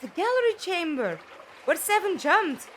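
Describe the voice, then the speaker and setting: A woman acting as a spirited, defiant young heroine in an audio drama. Her high voice swings expressively through earnest, pointed questions, with light ambient noise around it. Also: very animated, normal speed. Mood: anxious, talking to herself. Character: defiant young woman, the Doctor's companion Five.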